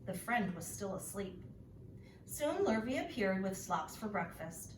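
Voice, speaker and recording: Woman storybook narrator: teacherly, deliberate, expressive, mid-pitched, echoey and slightly distant recording. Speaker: teacher reading aloud. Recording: echoey room, distant mic, faint noise.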